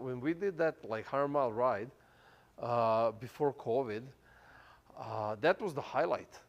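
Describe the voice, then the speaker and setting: A man in his thirties with a non-native English accent, preaching a casual, chatty sermon as pastor, full of fillers and restarts. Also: medium pitch. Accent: foreign accent, non-native English. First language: not English. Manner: chatty, many fillers and restarts, laughs easily.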